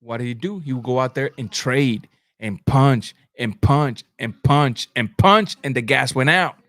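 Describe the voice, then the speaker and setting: A man's voice: mid-range voice, unhurried, a bit bright, dry recording. A man hosting a live boxing commentary stream, talking bluntly in informal slang and repeating phrases for emphasis. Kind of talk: live sports commentary, answering chat comments.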